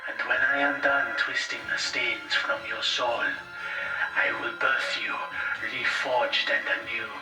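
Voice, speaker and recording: Man as an ominous, slow-talking cyber tech priest. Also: medium pitch, fairly even intonation, echoey room, far from the mic, over loud music, lightly acted, low-quality recording. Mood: menacing. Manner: grandiose, preaching.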